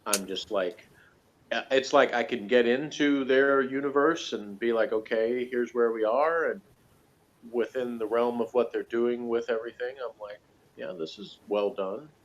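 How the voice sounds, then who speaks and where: A man with a medium-pitched voice talks through a review in a loose, conversational way. He rambles and pads his points with small filler phrases. The recording is dull and a little lo-fi. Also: moderate pace. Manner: rambling, hedging, conversational, with frequent "you know" and "like". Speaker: guest reviewer of a TV series. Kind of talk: casual review chat.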